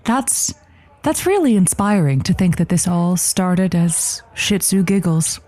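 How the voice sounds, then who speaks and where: A woman playing a gentle, bemused radio advice host in improvised comedy, asking careful questions with hesitant pauses. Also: on the low side, lively intonation. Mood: bemused, tactful. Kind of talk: improvised comedy audio drama.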